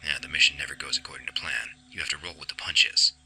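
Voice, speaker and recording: A gruff veteran soldier speaks in an extremely deep, husky growl. He is terse and deadpan, with dry humor and a hard, forceful edge, in a radio drama. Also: fast, soft background music, full character voice. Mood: sceptical. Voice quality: gravelly, husky.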